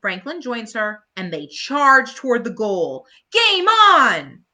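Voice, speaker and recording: A woman reading a children's bedtime story aloud expressively, pausing to chat to her young listeners. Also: a little high, big pitch swings, pushed and bright.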